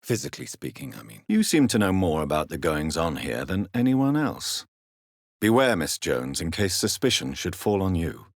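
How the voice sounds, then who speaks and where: A man playing a grave, courteous vampire physician in a video game, with a British accent and a formal, measured, theatrical delivery. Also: low voice, sing-song, no echo, clean studio sound, heavily acted. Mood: courteous, composed. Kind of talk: dramatic video game voice acting.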